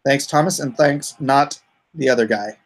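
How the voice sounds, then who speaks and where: A man's voice: medium pitch, normal speed. A man hosting a casual livestream, rambling and easygoing as he thinks aloud. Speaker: livestream host and comic writer.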